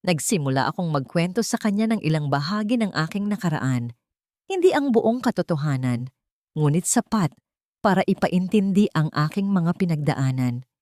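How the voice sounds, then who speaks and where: Woman narrating a tearjerker audiobook, lowish voice, steady and dramatic, dry close mic. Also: moderate pace, no echo. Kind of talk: scripted first-person drama narration.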